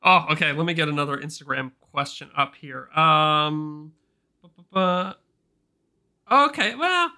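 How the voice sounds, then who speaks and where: A man co-hosting a podcast, chatting in an easygoing, agreeable way into a voice mic on a boom arm. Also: lively intonation, unhurried, creaky, pauses often, bright, forceful tone. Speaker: podcast co-host. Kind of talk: casual podcast chat.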